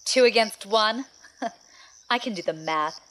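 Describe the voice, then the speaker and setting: A woman's voice: medium pitch, lively intonation, measured pace, fairly bright tone. A woman playing a haughty, menacing young sister in an audio drama, scornful and theatrical. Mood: cold, threatening.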